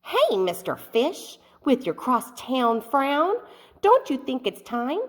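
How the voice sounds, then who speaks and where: A woman's voice: high-pitched, big pitch swings, takes it slowly, in a large hall, voice pushed up, exaggerated voice. A woman voicing a cheerful, grinning female clam in a storybook read-aloud. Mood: upbeat.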